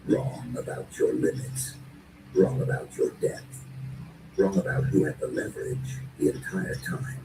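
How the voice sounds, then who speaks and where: A man performing dark, dramatic spoken-word narration in short, deliberate and menacing phrases, as a narrator. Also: a bass voice, animated, creaky, echoey room. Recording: distant, noisy lo-fi recording.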